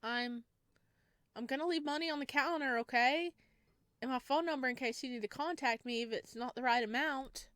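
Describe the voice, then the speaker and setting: A woman's voice: high voice, normal speed, fairly bright tone, dry recording. Woman reading a horror game aloud. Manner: second-person narration with asides to viewers. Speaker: gamer reading a visual novel.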